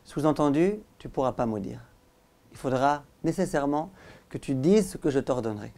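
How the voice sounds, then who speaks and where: A man, a rabbi, giving a religious lesson as animated storytelling, with dramatic pauses and direct address to his listeners. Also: mid-range voice. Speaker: rabbi, teacher.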